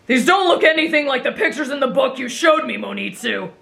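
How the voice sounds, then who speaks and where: A woman's voice: high-pitched, expressive, fairly quick, creaky, fairly bright tone, faint music behind, full character voice. A woman playing a brash, stubborn teenage boy raised in the wild, blunt and childlike, in an anime fan drama.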